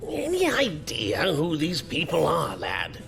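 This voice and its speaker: A man's voice: fairly high pitch, wildly swooping pitch, takes it slowly, vocal fry, heavily acted. An actor playing a dry-witted, avuncular older male professor in an audio drama, with an old-fashioned way of talking. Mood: wry, uneasy. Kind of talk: dramatic character acting. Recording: loud music bed behind voice.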